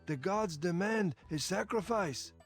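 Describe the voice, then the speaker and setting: An actor playing a stern, imperious Aztec man in a video game, declaiming forcefully. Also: high voice, unhurried, over loud music. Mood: indignant.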